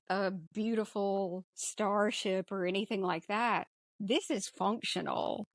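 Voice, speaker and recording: Animated-sounding woman podcaster, close dry sound. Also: medium pitch, dry recording. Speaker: podcast co-host. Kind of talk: casual movie discussion.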